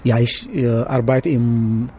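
A young man from Senegal with a Senegalese accent, answering casually in halting non-native German in a noisy location interview. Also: medium pitch, level tone, a little mellow. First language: not German, learned it as an adult. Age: young adult. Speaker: interviewee, trainee cook.

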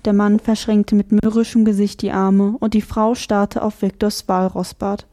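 Woman reading a storybook aloud, warm mellow tone, lively telling. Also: mid-range voice, normal speed. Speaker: audiobook narrator. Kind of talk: scripted storybook narration.

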